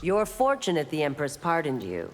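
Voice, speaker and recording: A woman's voice: deep voice, huge pitch swings, moderate pace. An actress playing a stern, dutiful female warrior constable in a video game, formal and firm. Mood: measured, formal. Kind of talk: video game character dialogue. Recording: long hall-like reverb.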